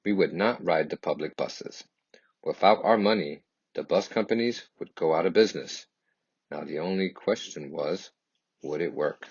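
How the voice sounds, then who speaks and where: A man reading a children's picture book aloud in first person, with earnest, deliberate and expressive delivery. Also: low voice, vocal fry, breathy edge, no room sound, lo-fi sound. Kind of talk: expressive scripted storybook narration in first person.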